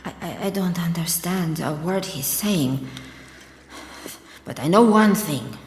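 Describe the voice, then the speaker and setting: A woman's voice: lowish voice, animated, slow, darkish tone, a little hiss or hum. An actress playing a wary, bitter, proud woman soldier, muttering her suspicious thoughts to herself in a radio drama. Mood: suspicious, hostile. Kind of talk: radio drama acting, inner monologue. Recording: very echoey hall reverb, music underneath.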